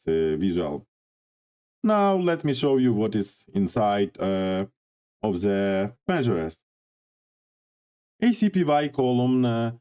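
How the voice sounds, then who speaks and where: Hoarse man walking through a software tutorial. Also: mid-range voice. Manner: halting, frequent "uh" pauses. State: hoarse from a cold. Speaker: data visualization instructor. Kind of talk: screen-share software tutorial.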